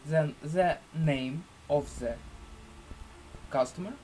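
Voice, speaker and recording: Slow-talking man presenting a software tutorial. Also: on the high side, slight background noise. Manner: halting, deliberate phrasing with pauses. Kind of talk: screen-share software tutorial.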